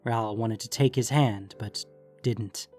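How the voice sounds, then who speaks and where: A man narrating scripted fiction in a measured, deliberate storytelling manner. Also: fairly low pitch, darkish tone, faint music behind.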